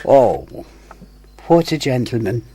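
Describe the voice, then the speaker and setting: An actress playing a scheming, seductive señora in a radio drama, with a coquettish, theatrical manner. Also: female voice, a bass voice, big pitch swings, slow pace, mellow, husky, no room sound, full character voice. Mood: coy, seductive. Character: seductive, scheming, theatrical Latin American judge's wife.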